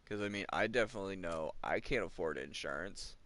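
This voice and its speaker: A man's voice: deep voice, lively intonation, fairly quick, fairly bright tone. A man of twenty chatting casually while playing a video game, ranting and complaining with chuckles between remarks. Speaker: gamer. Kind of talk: casual rambling chat over gameplay.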